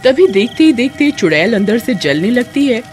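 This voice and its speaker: A woman's voice: a little high, sing-song, normal speed, a little mellow, faint music behind. A woman narrator telling a scripted children's cartoon story.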